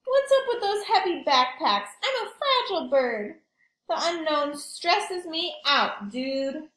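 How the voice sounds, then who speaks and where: Lady doing a whiny dramatic storybook pigeon, super high swoopy voice, all worried and complaining. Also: very animated, slow, fairly bright tone, roomy sound, heavily acted, rough recording. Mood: worried, exasperated. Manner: exaggerated, emphatic complaining. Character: whiny, anxious, dramatic cartoon pigeon dreading school. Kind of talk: animated children's picture book read-aloud.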